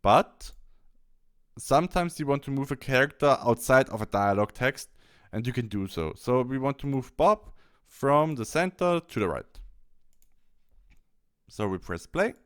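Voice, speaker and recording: A man presenting a software tutorial, explaining step by step in a halting instructional walkthrough. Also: medium pitch, sing-song, clear, resonant tone. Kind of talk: instructional screen-capture walkthrough.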